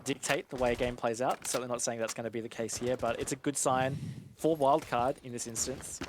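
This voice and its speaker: A man's voice: medium pitch, fairly even intonation, a little fast. A man giving analytical esports commentary in a conversational, explanatory way. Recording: noisy game gunfire and clicks behind.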